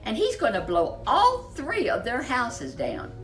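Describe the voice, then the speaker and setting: Slow, animated woman storyteller. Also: a little high, soft background music. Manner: dramatic, drawn-out emphasis on key words. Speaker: storyteller, host. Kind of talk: expressive children's storybook narration.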